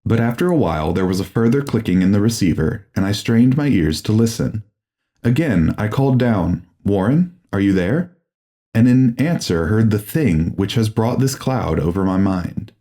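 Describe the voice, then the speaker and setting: Low, dark-voiced male horror narrator. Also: expressive. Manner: grave, deliberate, formal. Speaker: audiobook narrator. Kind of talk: dramatic scripted horror narration.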